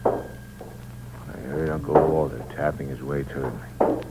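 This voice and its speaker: A deep-voiced noir killer. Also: male voice, wildly swooping pitch, takes it slowly, creaky, exaggerated voice. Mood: narrating. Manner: tough slangy talk, clipped and menacing. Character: hard-boiled male con man and killer, cold. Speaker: narrator and lead character. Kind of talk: 1950s radio drama, first-person noir narration. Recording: dull 1950s radio broadcast with hum.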